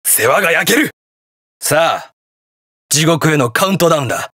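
An actor playing a brash, hot-blooded young detective hero in a video game, gruff, terse and defiant. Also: male voice, on the high side, expressive, normal speed, bright, forceful tone, no room sound, clean studio sound. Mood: defiant, fierce. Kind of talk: video game battle voice lines in Japanese hero-show acting.

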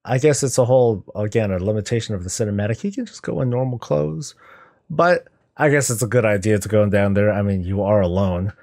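A man's voice: lowish voice, a little fast, darkish tone. A middle-aged man giving casual, musing reaction commentary as a video host, talking conversationally. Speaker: YouTube host reacting to game cinematics.

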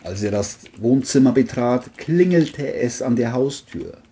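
Very deep-voiced woman narrating. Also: animated, a little slow, dark tone. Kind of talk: scripted crime story narration.

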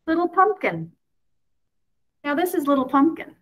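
A woman reads a children's story aloud expressively, in a sing-song way with drawn-out key words. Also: sits high, big pitch swings, fairly bright tone. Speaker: picture book reader.

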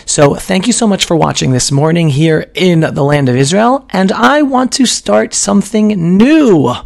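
A hoarse man in his forties teaching in a casual live stream from a small room at home, talking emphatically and often repeating himself. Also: high voice, a little slow. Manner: animated and emphatic, with frequent repeats and restarts. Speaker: video host teaching about prayer. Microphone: webcam, close framing.

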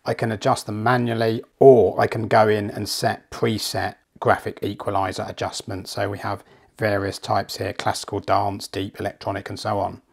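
Croaky man with flu, talking through an app. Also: fairly low pitch, moderate pace. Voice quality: gravelly. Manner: chatty, steady step-by-step explaining. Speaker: hifi reviewer. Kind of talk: screen walkthrough tutorial. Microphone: voice-over.

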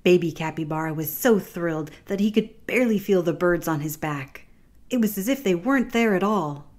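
A woman reading her children's picture book aloud as narrator, gently and expressively. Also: fairly low pitch, lively intonation, normal speed.

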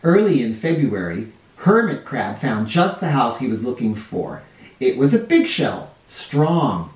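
Animated man reading a picture book. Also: mid-range voice, a little slow. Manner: animated, explanatory, addressing young listeners. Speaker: children's storytime reader. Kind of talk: expressive picture-book read-aloud for kids. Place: living room or playroom indoors. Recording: roomy home sound, distant mic.

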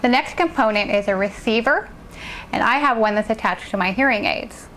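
A woman assistant professor giving a clear, measured instructional demonstration, speaking into a worn lapel microphone. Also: on the high side, fairly bright tone, slight background noise.

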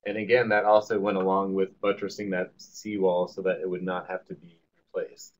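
A man answering a webinar question in a thoughtful way, with pauses and fillers. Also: low-pitched, little pitch movement. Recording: dull web call audio with faint noise.

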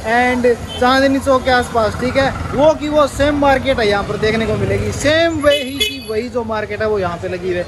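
A young man from India, walking through an outdoor street market and chatting to the camera as a travel vlogger. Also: extremely high pitch. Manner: chatty, pointing things out, repeats "theek hai" and "dekho bhai". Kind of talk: walking market tour vlog. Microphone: handheld camera mic. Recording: noisy street with traffic.

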